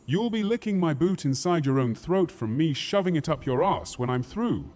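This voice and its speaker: Man playing a blustering, foul-mouthed castle warrior, wildly animated. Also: on the high side, moderate pace. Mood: mocking, angry. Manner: blustering, mocking, crude. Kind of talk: fantasy audio drama acting.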